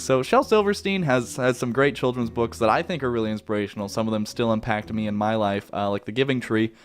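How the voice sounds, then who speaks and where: Young Canadian guy, lively rambling Q&A. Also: mid-range voice. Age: young adult. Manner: rambling, self-correcting, laid-back. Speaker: YouTuber answering viewer questions. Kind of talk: casual Q&A chat.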